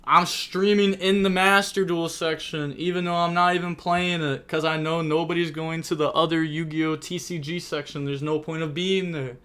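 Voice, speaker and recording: A young man streaming live, talking through a card game match in a loose, rambling way full of fillers. His voice is bright and forceful, with a smooth, crisp tone. It sits a little high for a man. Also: little pitch movement, moderate pace, hardly any pauses. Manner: chatty, rambling, thinks aloud with many fillers. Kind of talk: casual live-stream commentary.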